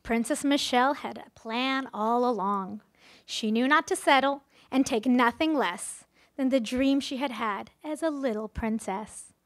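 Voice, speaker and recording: A woman's voice: on the high side, a little slow. A woman giving a wedding speech to guests, partly reading a rhyming storybook in a playful, theatrical way. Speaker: wedding speech giver, friend of the bride. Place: live in front of wedding guests.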